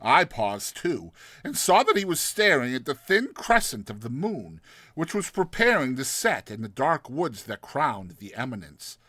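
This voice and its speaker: A man narrating a literary short story in a theatrical, expressive storytelling manner. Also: medium pitch, a little slow, dry recording.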